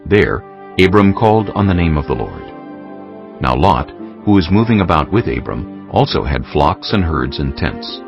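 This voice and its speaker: A man narrating scripture dramatically from a script, in a solemn, deliberate storytelling manner. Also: rumbling low, vocal fry, highs cut off. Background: music.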